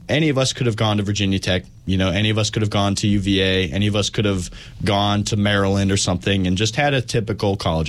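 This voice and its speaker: A young man in his twenties, a student body president on a radio interview, speaking with enthusiasm. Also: fairly low pitch.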